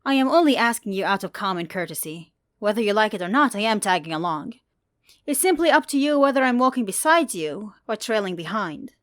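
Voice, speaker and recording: A woman's voice: mid-range voice, animated, brisk, fairly bright tone, no echo, studio quality, voice pushed up, natural voice. A woman playing a bold, teasing young female monster hunter in an audio fan fiction story, cheeky, defiant and emphatic.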